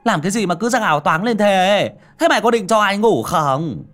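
Male narrator playing a loud mocking young villager, grumpy and brash, lively pitch, husky. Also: soft background music. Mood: irritated. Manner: loud, scoffing, fast.

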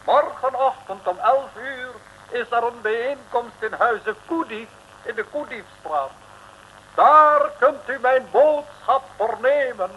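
An actor playing a pompous, exalted street preacher who speaks solemn, old-fashioned phrases in a radio comedy serial. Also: male voice, extremely high pitch, takes it slowly, slight background noise. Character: unctuous, exalted street preacher calling everyone brother. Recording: thin phone-like old radio recording.

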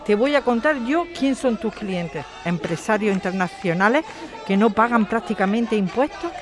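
A woman's voice: medium pitch, lively intonation, normal speed, music in the background, natural voice. Woman acting a combative young-generation activist lawyer, street crowd behind. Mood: indignant, defiant. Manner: indignant, challenging, forceful rhetorical questions. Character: combative activist lawyer daughter defending evicted neighbours. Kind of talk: audio drama dialogue.

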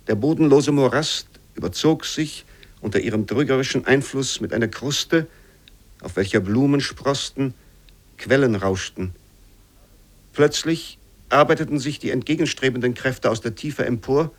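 A man reading literary prose for radio in a measured, formal storytelling manner. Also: medium pitch, lightly acted. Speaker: reader of literary excerpts.

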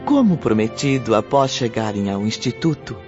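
A man with a Brazilian accent giving solemn scripted radio drama narration in measured, dramatic phrases. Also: on the low side, animated. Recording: dull lo-fi audio, music behind.

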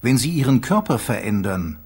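Husky, animated male slogan guru. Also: medium pitch, normal speed. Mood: persuasive. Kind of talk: radio drama slogan delivery.

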